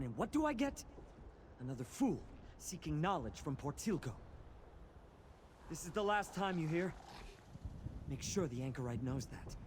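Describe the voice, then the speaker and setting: A man's voice: on the high side, close to an everyday voice. Exasperated cult informant, acted by a man, over noisy ambience. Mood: exasperated, bitter. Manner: exasperated, wary, grumbling. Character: bitter, anxious male cult initiate turned informant. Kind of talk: video game dialogue. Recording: clear background noise of game ambience.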